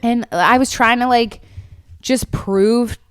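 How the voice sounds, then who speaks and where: A woman in her twenties co-hosting a podcast in casual chat, animated, swearing freely and acting out what others said. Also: a little high, slow, smooth, no echo, studio quality. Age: 20s. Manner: animated, swears freely, laughs often, acts out quotes. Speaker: podcast co-host.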